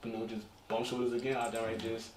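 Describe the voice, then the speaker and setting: Fast-talking young man in echoey room. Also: mid-range voice, monotone, a little mellow. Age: early twenties. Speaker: podcast co-host. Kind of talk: casual group chat. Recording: echoey room, distant mic, faint noise.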